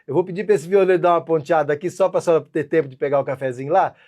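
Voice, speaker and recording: A man's voice: on the high side, rapid-fire, a little mellow. A man hosting a casual talk show in a folksy, chatty way. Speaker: host.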